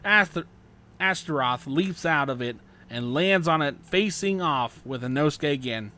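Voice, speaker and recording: Higher-voiced male audiobook narrator. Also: animated, measured pace, pushed and bright. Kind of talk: scripted fan fiction audiobook narration.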